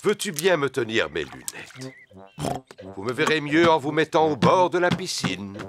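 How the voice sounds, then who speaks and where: A man's voice: a little high, big pitch swings, moderate pace. A man voicing a jolly, boastful father pig in a children's cartoon, hearty and self-assured. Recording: music bed behind the voice.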